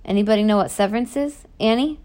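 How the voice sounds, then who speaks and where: A woman voicing a stern, angry middle-aged male prison warden in a storybook, lecturing children forcefully. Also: mid-range voice, fast, smooth, close to an everyday voice.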